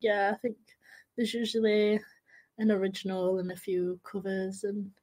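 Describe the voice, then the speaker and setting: A woman's voice: medium pitch, level tone, normal speed, a little mellow, no room sound. A woman in her late teens co-hosting a podcast in casual chat. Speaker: podcast co-host.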